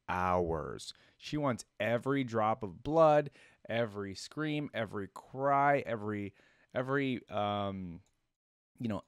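A man's voice: lowish voice, no echo. A young American man in his twenties giving casual reaction commentary as a video host, speaking close to the mic.

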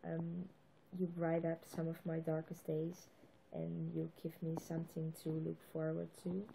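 A young woman from the Netherlands speaks sincerely, pausing a little as she thanks someone. Her voice is low, flat and gravelly. Faint room noise sits behind her webcam recording. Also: low-quality recording. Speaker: fan sending a birthday message. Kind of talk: video greeting.

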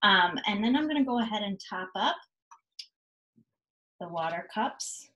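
A woman's voice: mid-range voice, lively intonation, measured pace, lo-fi sound, background noise. A woman in her thirties, a teacher explaining an activity to young children in simple, direct instructions at home. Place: small indoor rooms at home, living room and kitchen. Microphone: device camera at about arm's length or a little further.

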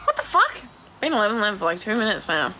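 A blunt Australian woman in her twenties with a naturally deep voice, chatting casually on a live stream from her phone and swearing freely. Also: huge pitch swings, rapid-fire, bright, forceful tone, slight background noise. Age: 20s. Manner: blunt, swears a lot, laughs easily. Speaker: cattle farmer vlogger. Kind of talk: casual live stream chat answering comments. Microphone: phone at arm's length.

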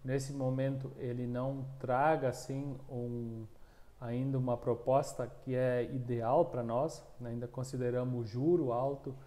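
A man's voice: medium pitch, flat delivery, mellow. A man in his thirties with a southern Brazilian accent, a union coordinator answering interview questions with many restarts, recorded on a lapel mic in a small room. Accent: southern Brazilian Portuguese. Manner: halting, many restarts and fillers. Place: small room, plain wall, indoors.

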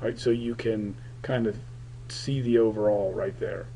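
A man records a lecture over his slides, his voice raspy and gravelly while it recovers. The tone is dark and mellow, and he talks in a halting way with many fillers. A faint hum sits behind the computer mic. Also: fairly low pitch, steady tone, poor audio. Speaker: political science teacher.

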